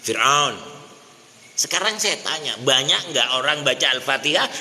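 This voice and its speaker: Bright-voiced preacher in a reverberant hall. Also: male voice, a little high, lively intonation. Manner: addresses listeners as Pak, asks the crowd questions; chants Quranic verses slowly. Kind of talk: mosque sermon. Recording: hall reverb, faint noise.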